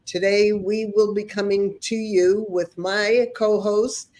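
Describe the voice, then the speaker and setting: A woman's voice: medium pitch, little pitch movement, slow, a little mellow. A woman hosting a motivational podcast, a little nasal from a cold, earnest and prone to repeating words as she starts a thought. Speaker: podcast host. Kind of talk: motivational chat.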